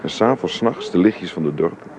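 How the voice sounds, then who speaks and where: A man's voice: low voice, expressive, fast, a crowd in the background. A middle-aged man playing a wistful, affectionate father in a naturalistic radio drama, searching for his words and repeating them. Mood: wistful, reflective. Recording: noisy radio play recording.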